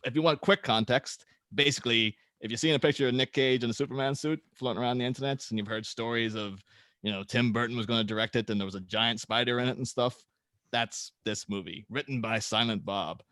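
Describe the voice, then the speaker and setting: A man hosting and narrating a script read-through in a casual, somewhat halting manner. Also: medium pitch, steady tone, a bit bright, no room sound. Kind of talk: casual introduction, then reading screenplay directions aloud.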